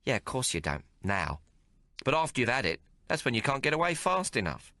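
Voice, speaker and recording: A man's voice: mid-range voice, big pitch swings, a little fast, bright, forceful tone, dry recording, studio quality, pitched above the performer's own voice. A man reading aloud and voicing a coarse, fiery young female prostitute. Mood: brazen. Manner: coarse, bold, mocking.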